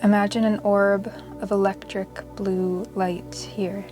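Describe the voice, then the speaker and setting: A woman leading a guided yoga meditation in a soothing, measured way with long pauses. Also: medium pitch, flat delivery, darkish tone. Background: meditation music.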